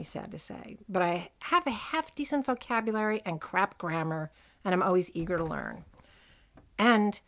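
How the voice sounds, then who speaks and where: A woman's voice: lowish voice, creaky. A woman hosting a casual podcast, talking with a lisp after dental surgery. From United States. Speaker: podcast host.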